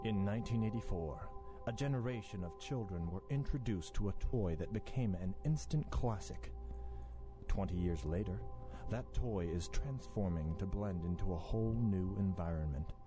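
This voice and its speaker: An actor playing an earnest, dramatic announcer in a parody toy commercial. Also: male voice, deep voice, normal speed, over music, natural voice. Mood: epic, earnest.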